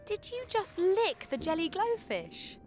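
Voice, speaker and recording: A woman's voice: extremely high pitch, big pitch swings, measured pace, a little mellow, faint music behind, exaggerated voice. An actress playing a dry, sarcastic female adventurer in a game, curt and unimpressed. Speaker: game character.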